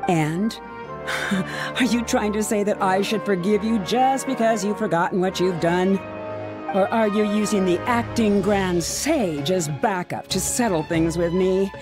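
A woman's voice: medium pitch, measured pace, lightly acted. An actress playing a proud, bitter young woman researcher in a game, mocking and scornful, with short dry laughs. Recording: game audio with music.

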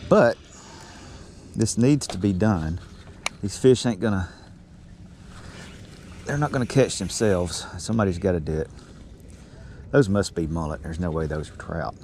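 Low-voiced man chatting on a boat. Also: measured pace, pauses often. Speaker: fishing vlogger. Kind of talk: casual chat while fishing. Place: outdoors on a small boat on calm water. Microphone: body-worn action camera. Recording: steady noise from outdoor action camera.

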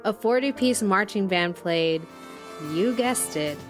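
A woman playing a solemn documentary podcast host, delivering scripted narration in a measured way. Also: mid-range voice, expressive. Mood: reflective. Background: music.

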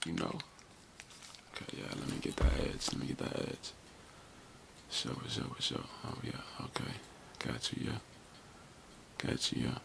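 Very deep, crackly-voiced American man murmuring ASMR. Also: wildly swooping pitch, normal speed, creaky, pauses often. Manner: repetitive, slangy, reassuring murmur. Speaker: ASMR performer roleplaying a barber. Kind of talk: soft-spoken ASMR barbershop roleplay. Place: small room indoors. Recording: noisy close mic with handling sounds.

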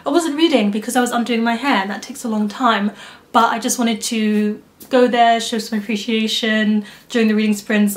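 A young woman chatting enthusiastically in a casual reading vlog, filmed indoors at home by a camera facing her. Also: on the high side. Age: young adult. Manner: chatty and enthusiastic, laughs easily. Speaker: book vlogger. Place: small room at home, indoors.

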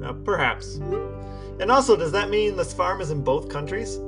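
A man's voice: high voice, very animated, measured pace, over music. A man, a podcast co-host, giving a dramatic reading of written messages.

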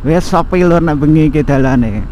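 A man's voice: fairly high pitch, rough recording. A man with a Javanese accent chatting casually while riding a motorbike, talking to viewers through an action camera. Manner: slow, relaxed chatty narration in Javanese mixed with Indonesian. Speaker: motovlogger. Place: riding a motorbike on an outdoor road. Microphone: helmet or bike-mounted action camera. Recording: faint road and traffic noise.

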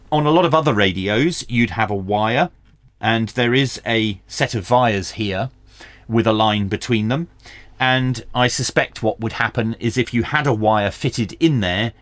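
A man's voice: fairly low pitch, fairly bright tone, dry recording. A middle-aged man hosting a hands-on product review and tutorial, explaining things step by step with dry asides. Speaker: radio review channel host. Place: small indoor home workshop.

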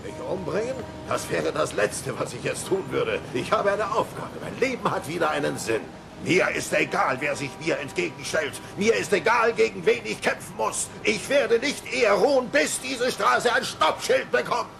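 An actor playing a bumbling, hot-headed middle-aged cartoon father with a gravelly voice and blustering, emphatic delivery. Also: sits high, lively intonation, normal speed, few pauses, over music, exaggerated voice. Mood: determined. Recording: noisy old cassette dub.

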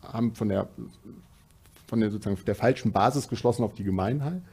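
A middle-aged man on a studio panel, reasoning in a measured, analytical way. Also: fairly low pitch, dark tone, slightly rough. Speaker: panel guest. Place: studio stage.

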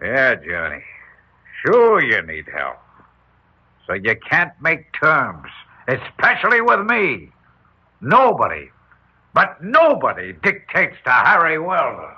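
An actor playing a tough, blustering older businessman father in an old-time radio drama, blunt, rough and slangy in speech. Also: medium pitch, wildly swooping pitch, slow pace, pauses often, full character voice. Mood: gruff, scornful. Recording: muffled, noisy old radio recording.

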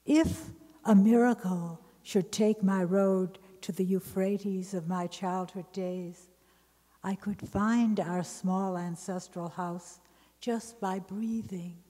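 A middle-aged woman reading poetry from a page at a podium, slow and measured. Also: mid-range voice, steady tone, mellow. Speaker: reader at a literary memorial. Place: large ornate library hall. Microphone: podium mic on a stand. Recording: long hall reverb.

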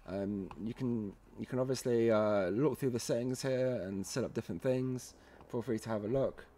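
A man presenting a software tutorial in a casual, halting, step-by-step way, often checking in with a short "okay". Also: medium pitch. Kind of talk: casual screen-recorded software walkthrough.

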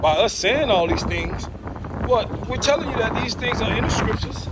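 Halting high-voiced man, noisy outdoor recording. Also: lively intonation. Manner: halting, repeats words while searching for the next. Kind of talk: casual religious talk while out walking. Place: outdoors, walking. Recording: noisy outdoor recording, wind and engine noise.

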